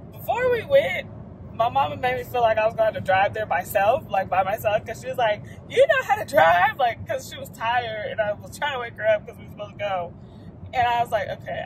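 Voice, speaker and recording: A woman's voice: high-pitched, background noise. A woman in her late twenties with an American accent, chatting informally and expressively to her viewers as a vlogger. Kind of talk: casual vlog chat to viewers. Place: small furnished room, later parked car.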